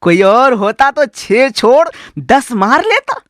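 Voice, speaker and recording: A man's voice: extremely high pitch, sing-song, no echo, studio quality. A man playing a wheedling village cobbler in a radio drama, fawning and pleading as he protests. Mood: fawning complaint.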